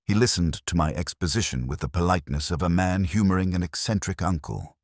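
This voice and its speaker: A man reading a scripted first-person literary mystery in a measured, formal storytelling manner. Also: low-pitched, no echo, clean studio sound. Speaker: audio drama narrator.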